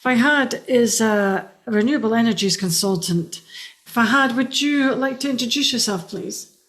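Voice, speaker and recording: A woman's voice: a little high, normal speed, fairly bright tone. A woman hosting a podcast interview, asking short, friendly questions. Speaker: podcast host.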